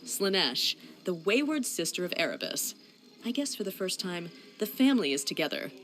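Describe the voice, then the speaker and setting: A woman playing a composed noble sea captain in a fantasy game cutscene, speaking in a measured, formal way. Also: on the low side, sing-song, fairly bright tone, soft background music. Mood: solemn.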